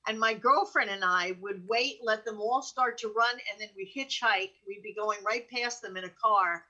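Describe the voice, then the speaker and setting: A woman's voice: medium pitch, normal speed, bright, forceful tone, dull audio. An American woman co-host telling anecdotes casually, in a candid, colloquial way. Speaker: show co-host.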